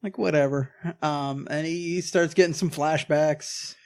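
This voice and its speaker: A man co-hosting a movie podcast, recapping the film in casual chat, laughing often and acting out characters in quick bursts. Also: fairly high pitch, steady tone. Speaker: podcast co-host.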